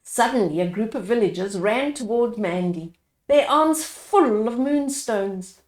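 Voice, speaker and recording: A woman narrates a children's storybook at an unhurried pace. Her delivery is highly animated and she explains things for young listeners. The room is noticeably echoey. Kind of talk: expressive bedtime storybook narration.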